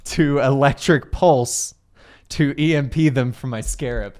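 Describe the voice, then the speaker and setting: A man's voice: mid-range voice, unhurried, darkish tone, smooth. A man chatting and joking as a player in a tabletop game, playful and rambling. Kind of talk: casual joking game banter.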